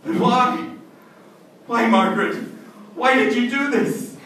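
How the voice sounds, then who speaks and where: A man's voice: very high voice, slow pace, fairly bright tone, full character voice. A man playing a desperate, doomed judge in an old-time radio melodrama, with theatrical delivery. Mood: shocked, anguished. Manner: theatrical, pleading. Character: desperate, doomed male judge who murdered his wife. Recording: echoey, distant stage recording, faint noise.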